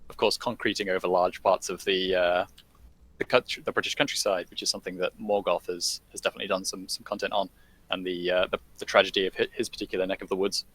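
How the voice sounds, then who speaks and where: Bright-voiced man, hesitant guest talk. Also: deep voice, flat delivery, fast, no room sound. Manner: hesitant, many fillers and restarts. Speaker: guest commentator. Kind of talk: casual podcast discussion.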